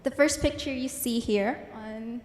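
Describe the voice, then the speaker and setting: A teenage girl from the Philippines, first language Ilonggo, gives a prepared talk in an earnest, measured way. Her voice rings through a large hall with a long reverb tail. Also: fairly high pitch, darkish tone. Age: teens. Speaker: student speaker. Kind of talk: prepared presentation.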